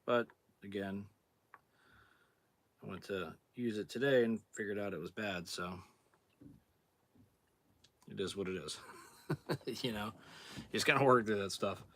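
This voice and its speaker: Deep-voiced man host, hoarse, laid-back workshop demo. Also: a little slow, pauses often, no room sound. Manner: relaxed, plain-spoken, thinking aloud while working. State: hoarse, clearing throat. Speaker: outdoors channel host. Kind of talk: casual hands-on demonstration.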